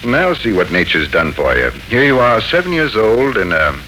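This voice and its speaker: Dry, fond uncle for vintage radio serial: low, creaky, swinging intonation, teasing but patient, noisy old recording. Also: male voice, big pitch swings, normal speed, bright, forceful tone. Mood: gently teasing. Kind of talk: 1940s radio serial acting.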